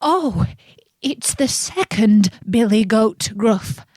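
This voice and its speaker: A woman's voice: on the high side, expressive, slow pace, a little mellow, no echo, clean studio sound. A woman voicing the middle billy goat, sturdier than the first, pleading with a fuller voice.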